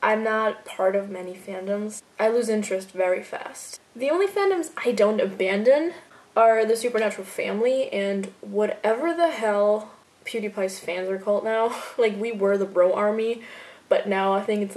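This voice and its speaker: A woman's voice: mid-range voice, normal speed, a little mellow. Chatty woman, filler-heavy. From Austria. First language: German. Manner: chatty, filler-heavy with frequent "like", laughs easily. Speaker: fan video editor answering viewer questions. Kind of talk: casual Q&A vlog.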